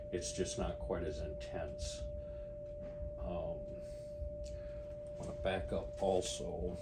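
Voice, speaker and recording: A man giving a casual, folksy hands-on product demonstration in a plain-spoken, unhurried way. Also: deep voice, poor audio. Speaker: product reviewer demonstrating lights. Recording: loud steady humming noise behind voice.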